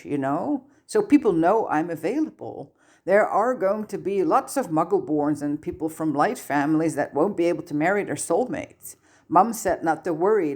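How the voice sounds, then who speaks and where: Narrator acting young wizard boy, storybook, casual, frank, matter-of-fact, slightly wistful. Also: mid-range voice.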